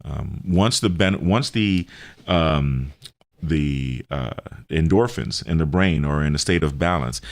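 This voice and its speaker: A man with a very deep voice explains therapies in a radio interview. His delivery is hesitant, with phrases restarted and repeated. The sound is dry, with very little room to it. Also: slow pace, dry recording. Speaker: health center representative explaining therapies.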